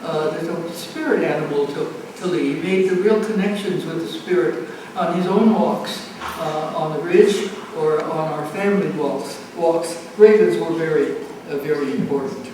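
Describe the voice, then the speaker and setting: A woman in her nineties from the United States reminisces to an audience in a halting way, often repeating phrases. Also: low-pitched, dark tone. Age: 90s. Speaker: speaker at a family art show. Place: community center event room. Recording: echoey, noisy room, distant microphone.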